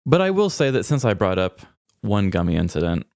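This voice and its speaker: Deep voiced guy doing casual funny podcast chat, playful storytelling, really dry sound. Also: sing-song, a little fast, darkish tone, no room sound. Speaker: podcast co-host. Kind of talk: casual comedic podcast banter.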